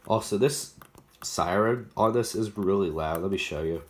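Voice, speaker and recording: Guy with a pretty deep voice rambling thru a casual radio demo, noisy audio w/ clicks. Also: moderate pace. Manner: rambling, thinking aloud. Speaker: collector presenting weather radios. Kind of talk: casual product demo vlog.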